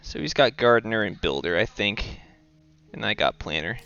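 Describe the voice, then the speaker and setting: A man's voice: on the low side, normal speed, creaky, dull audio, soft background music. A young man from the East Coast of the US, casually commenting on his moves while playing and chatting with viewers. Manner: relaxed, talks through his moves and reads chat aloud. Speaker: streamer playing a board game.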